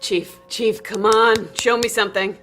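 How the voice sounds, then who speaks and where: An actress playing a brisk, no-nonsense female military deputy in an audio drama, clipped and commanding. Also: on the high side, steady tone, a bit bright, soft background music. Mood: urgent.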